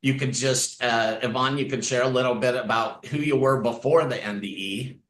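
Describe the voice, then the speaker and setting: A man's voice: mid-range voice, fairly even intonation, normal speed, fairly bright tone. A man hosting an online interview, recorded in an echoey room with faint background noise. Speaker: host.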